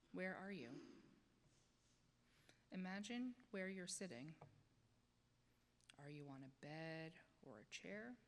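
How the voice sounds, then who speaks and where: Low-voiced woman leading a meditation, echoing hall. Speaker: lay leader of guided meditation. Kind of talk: guided meditation, slow prompting questions. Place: church sanctuary, speaking to congregation. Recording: echoey church hall, noticeable background noise.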